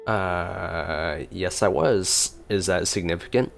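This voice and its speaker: A gameplay streamer with a low voice, chatting and reacting casually. They read along with the game aloud, with faint music behind. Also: takes it slowly, vocal fry.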